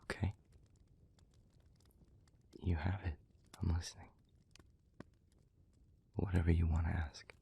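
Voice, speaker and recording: A man playing a gentle, remorseful demon in an intimate audio roleplay, speaking in a breathy near-whisper with halting, earnest care. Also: sits low, little pitch movement, a little hiss or hum, heavily acted. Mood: hushed, attentive. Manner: halting, earnest, careful, with long pauses.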